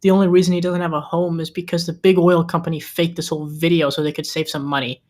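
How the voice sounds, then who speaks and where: A woman's voice: on the low side, little pitch movement, quick pace, a little mellow, lightly acted. A woman playing a loyal, earnest best friend on the witness stand, speaking emphatically at a screenplay table read.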